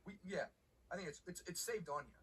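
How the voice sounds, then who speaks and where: An actor playing a hesitant, placating sidekick in a comic video game cutscene, stammering and hedging. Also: male voice, high voice, animated, a little fast, fairly bright tone, slightly rough, far from the mic, exaggerated voice. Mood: awkward, evasive. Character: hesitant, placating male sidekick making beats.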